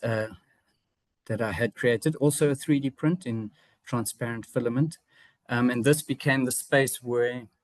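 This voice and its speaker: An artist from South Africa with a South African English accent, giving a reflective seminar presentation about his own work, pausing often with fillers and restarts. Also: medium pitch, no echo. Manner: hesitant, frequent fillers and restarts; reflective, personal.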